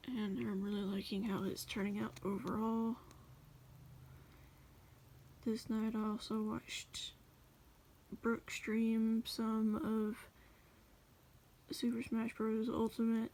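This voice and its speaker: A woman casually reading her journal aloud as a voice-over, in a rambling, halting way. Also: a little high, little pitch movement, a little mellow. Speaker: journal flip-through video creator.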